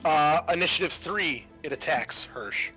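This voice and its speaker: A man acting as game master, narrating a tabletop roleplaying session in a halting, improvised way with many fillers. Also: fairly high pitch, animated, pushed and bright, husky, soft background music.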